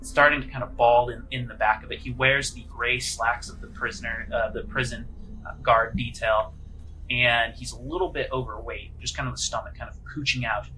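A man narrating a tabletop game as game master, improvising descriptions in a conversational, halting way. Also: medium pitch, steady tone, pushed and bright, a little hiss or hum, low-quality recording.

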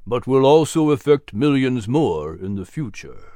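A man voicing a grave, wise mentor in a storybook drama, speaking solemnly and deliberately. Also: medium pitch, very animated, dark tone, no echo. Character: grave, wise male mentor guiding through history. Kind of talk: storybook character voice.

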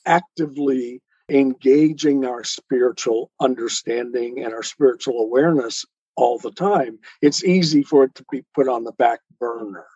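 A slightly muffled, dry recording carries a man's mellow voice with a touch of huskiness. He explains in a measured, teacherly manner, setting out each point in steps. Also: mid-range voice, breathy edge, no room sound. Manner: measured, didactic, builds points step by step. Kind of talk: explanatory talk on spirituality.

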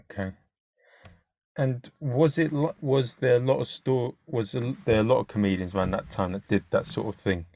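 A man interviewing a guest, asking halting questions with restarts. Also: medium pitch, fairly even intonation, a little mellow. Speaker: podcast interviewer. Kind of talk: casual interview chat.

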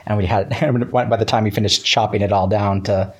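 A man's voice: on the low side, steady tone, moderate pace, breathy edge. A man chatting casually as an interview guest, a writer who talks in stops and starts and often acts out bits of past conversations. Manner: chatty, hesitant false starts, quoting himself conversationally.

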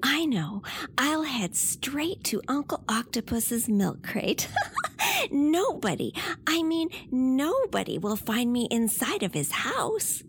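A woman playing a playful, excitable young sea creature in a children's radio play. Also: high voice, slow, a little hiss or hum, exaggerated voice. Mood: gleeful.

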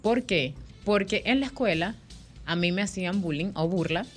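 A woman's voice: mid-range voice, expressive, moderate pace, soft background music. A woman in her thirties with a Venezuelan accent, a microblading artist telling her life story as a guest on a radio talk show. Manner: chatty, storytelling, laughs easily.

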